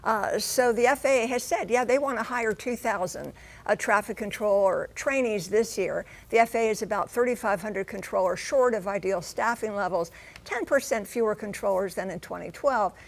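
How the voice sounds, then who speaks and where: A woman's voice: mid-range voice, moderate pace, gravelly. A woman reporting as a TV correspondent in an emphatic, folksy manner with rhetorical questions. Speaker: TV transportation correspondent. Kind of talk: broadcast news report with live studio discussion.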